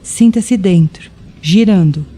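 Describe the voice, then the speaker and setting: Very dark-voiced Brazilian woman guiding meditation. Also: mid-range voice, breathy edge. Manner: slow, deliberate, soothing delivery with pauses. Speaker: meditation guide. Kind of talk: guided meditation narration. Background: music with rain and thunder sounds.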